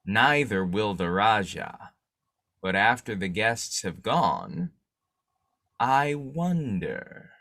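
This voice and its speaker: A man playing a shrewd, determined newspaper boss and secret vigilante in a 1940s-style radio drama, musing deliberately. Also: fairly low pitch, big pitch swings, bright, forceful tone, heavily acted. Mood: scheming, musing. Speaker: actor in an old-time radio drama revival.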